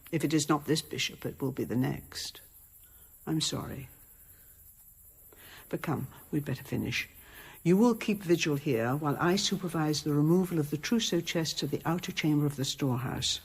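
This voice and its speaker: Husky, commanding convent abbess. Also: female voice, sits low, animated, full character voice. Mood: low-key, resolute. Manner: measured, formal, commanding. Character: composed, authoritative, shrewd convent abbess. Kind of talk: radio drama acting.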